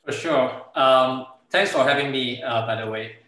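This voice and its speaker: Echoey Hong Kong man with bright voice. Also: mid-range voice, measured pace. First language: Cantonese. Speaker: startup co-founder giving a presentation. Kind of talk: informal slide presentation with product demo. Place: indoor room, on a video call. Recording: very echoey room over video call.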